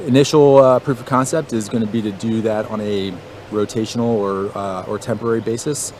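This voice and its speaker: A man explaining things in an interview like a military officer, haltingly, pausing to find his words. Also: on the low side, steady tone, darkish tone. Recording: outdoor noise, vehicles behind.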